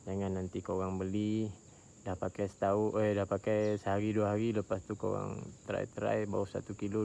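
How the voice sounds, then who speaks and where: A Malaysian man giving an informal product review in a casual vlog style, explaining in a halting, repetitive way. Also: on the low side, gravelly, dark tone, a little hiss or hum. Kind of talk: casual outdoor vlog talk. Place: outdoors, high up on a tower.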